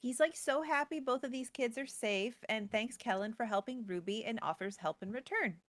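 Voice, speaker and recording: A woman's voice: a little high, moderate pace, no echo. A woman co-hosting as a storyteller, retelling a tale in a casual, playful style. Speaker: co-host and storyteller.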